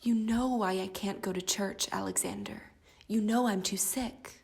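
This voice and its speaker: A woman's voice: medium pitch, fairly even intonation, unhurried, gravelly, darkish tone. A woman playing a sickly, pious colonial wife in an audio drama, earnest and frightened, with old-fashioned prayerful phrasing. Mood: reproachful.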